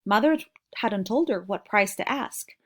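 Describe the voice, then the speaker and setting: A woman's voice: mid-range voice, animated, measured pace. Woman reading a storybook aloud. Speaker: reader of a children's novel. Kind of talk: read-aloud storybook narration.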